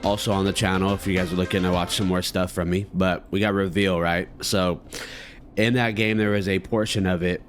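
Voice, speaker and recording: A man's voice: low-pitched, flat delivery, a little fast, faint music behind. A man giving casual let's play commentary, rambling in slang and talking to his viewers. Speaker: gaming video host.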